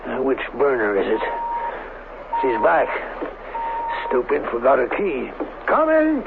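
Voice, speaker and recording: Hissy old radio drama, harried male scientist, impatient, agitated, animated pitch. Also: slow, creaky, narrow, tinny audio, heavily acted. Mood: harried, muttering. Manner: muttering, agitated.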